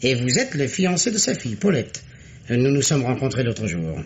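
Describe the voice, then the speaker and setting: Animated, needling French deputy detective. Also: male voice, medium pitch, normal speed. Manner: insinuating, mock-polite, animated. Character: male deputy police detective, insistent, needling, skeptical. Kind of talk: French radio mystery drama acting. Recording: dull, noisy old radio recording.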